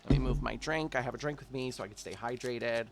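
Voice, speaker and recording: Congested man with a gravelly voice. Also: medium pitch, fast talker, very noisy. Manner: chatty, warm-hearted, talks straight to viewers. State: stuffy, congested from allergies. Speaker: tarot reader. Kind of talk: casual monthly tarot forecast.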